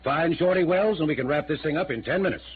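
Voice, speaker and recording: Actor as brisk, earnest city official. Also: male voice, fairly high pitch, close to an everyday voice. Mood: urgent. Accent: American. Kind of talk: 1950s radio noir acting. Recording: tinny old radio, faint noise.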